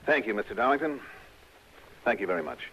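An American man playing a shrewd, wry insurance investigator in a 1960s radio detective drama, speaking deliberately as he reasons through his case. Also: mid-range voice, lively intonation. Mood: probing, businesslike. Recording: dull vintage radio recording with music.

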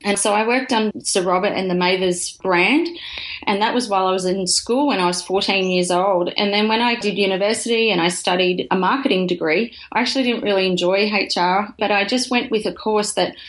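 A woman's voice: medium pitch, steady tone, brisk, poor audio. A middle-aged Australian woman, a podcast guest, telling her story in a chatty way with frequent laughs and restarts. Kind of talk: casual interview storytelling.

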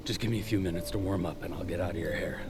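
A man's voice: sits low, steady tone, very fast. A man playing an earnest, well-meaning character in an audio drama, plain-spoken and sincere. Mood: urgent. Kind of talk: dramatic audio fiction acting.

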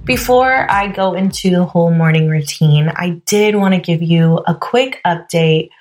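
A woman with a slightly low voice hosts her own podcast alone, still a little hoarse. She talks in a candid, chatty way, full of fillers like "you know" and "like". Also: normal speed, a little mellow, faint music behind. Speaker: podcast host. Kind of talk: casual solo podcast monologue.

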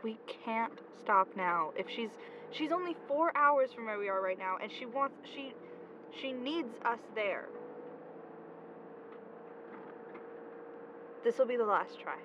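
A high-pitched, swooping voice of a headstrong young woman played by an actress in an audio drama. She is insistent and sarcastic, with desperation underneath. The recording is muffled, with heavy vehicle noise around her. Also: lively intonation, moderate pace, close to an everyday voice. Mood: pleading.

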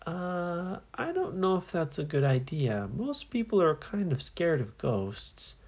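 A woman's voice: on the low side, sing-song, measured pace, darkish tone, husky, pitched above the performer's own voice, exaggerated voice. A woman voicing plucky little children on an adventure in a story, speaking plainly and earnestly. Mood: curious.

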